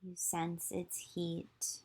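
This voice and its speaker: A woman yoga teacher leading a guided visualization meditation, giving slow, soothing instructions with long pauses. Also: fairly low pitch, monotone, a little mellow, slightly rough.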